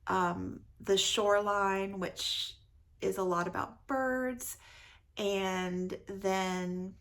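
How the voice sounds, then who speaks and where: Female book vlogger, mid-pitched, slow-paced, enthusiastic and effusive, casual conversational delivery. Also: fairly even intonation, a bit bright. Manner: enthusiastic, effusive, repeats words for emphasis. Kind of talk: casual book review chat.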